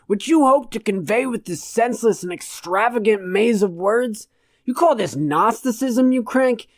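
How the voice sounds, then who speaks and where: A woman's voice: fairly high pitch, expressive, normal speed, dry recording. Woman voicing a pompous, irate old male philosopher. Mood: indignant. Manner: pompous, verbose, scornful.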